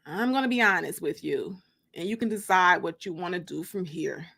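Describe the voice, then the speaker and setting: A woman voicing a smooth, candid young man courting someone in an audiobook, casual and straightforward. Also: fairly low pitch, animated, normal speed, fairly bright tone, voice pushed down, full character voice. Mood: frank. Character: smooth, candid young male suitor, ex-convict.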